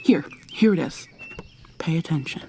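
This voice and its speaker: A woman acting an obsessive, controlling yandere lover in an ASMR roleplay, with a hushed, breathy, condescending drawl. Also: sits low, animated, normal speed. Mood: coaxing, possessive.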